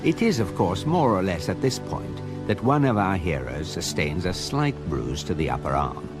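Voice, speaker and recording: An actor playing a wry, unflappable guidebook narrator, measured and deadpan, in a radio comedy. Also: male voice, low voice. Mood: dry reassurance. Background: music.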